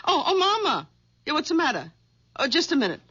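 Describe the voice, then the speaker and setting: Bossy, nagging wife, swooping pitch. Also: female voice, sing-song, fairly quick, pushed and bright, heavily acted. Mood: fussy, chatty. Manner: bossy, fussy, complaining. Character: domineering, nagging, oblivious wife. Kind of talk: 1970s radio drama acting. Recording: dull vintage 1970s radio recording.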